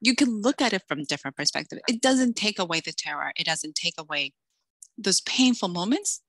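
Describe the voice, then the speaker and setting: Middle-aged woman guest, lively storyteller, bright tone, dry close sound. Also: medium pitch, a little fast, creaky, dry recording. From Dominican Republic, raised in Massachusetts. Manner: animated storyteller, acts out quoted voices. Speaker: author guest. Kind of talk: casual interview chat.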